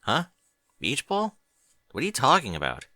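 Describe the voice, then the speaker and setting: A man's voice: medium pitch, huge pitch swings, moderate pace, bright, forceful tone, dry recording, clean studio sound, pitched above the performer's own voice, full character voice. A man voicing a casual, chatty young dragon. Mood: puzzled, curious. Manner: colloquial, hesitant, questioning. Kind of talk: audiobook character dialogue.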